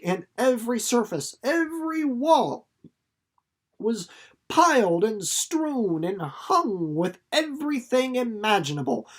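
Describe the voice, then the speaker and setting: A narrator reading a children's novel aloud in an expressive, deliberately phrased storytelling way. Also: mid-range voice. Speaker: audiobook reader.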